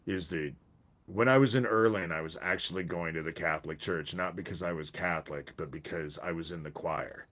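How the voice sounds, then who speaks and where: A man hosting a casual livestream from his back porch, with a deep voice and a stuffy head cold, rambling with pauses and restarts. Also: fairly bright tone. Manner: rambling, pauses, self-interrupting. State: congested from a cold. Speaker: livestream host.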